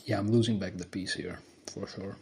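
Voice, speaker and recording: A man with a deep voice talking quietly through his moves as he plays an online chess game. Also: fairly even intonation, a little fast, a little hiss or hum. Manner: quiet, low-voiced thinking aloud. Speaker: chess streamer. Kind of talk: live game commentary.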